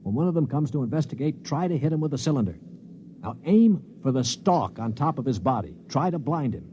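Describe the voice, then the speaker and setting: Actor doing tense take-charge american guy, old 50s radio play, swingy pitch, muffled noisy recording. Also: lively intonation, fairly quick, dark tone, close to an everyday voice. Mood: urgent, steadying. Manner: urgent, instructing. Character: tense commanding man coaching a crewmate by radio. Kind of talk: 1950s radio drama acting.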